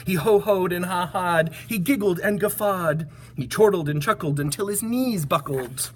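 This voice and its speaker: A woman reading a children's picture book aloud with rhythmic, expressive narration. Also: fairly low pitch, moderate pace, a little hiss or hum. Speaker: storybook reader.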